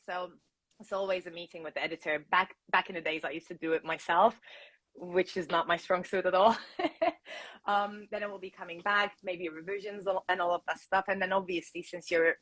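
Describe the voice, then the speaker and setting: A woman's voice: lowish voice, steady tone, normal speed, fairly bright tone. A woman from Turkey with a Turkish accent, a content creator and coach chatting on a video call, laughing often. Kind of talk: casual interview chat.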